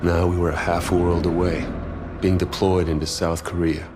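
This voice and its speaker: An actor playing a reflective young Marine recruit, narrating a military game story in a solemn, measured way. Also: male voice, low voice, little pitch movement, over music, close to an everyday voice.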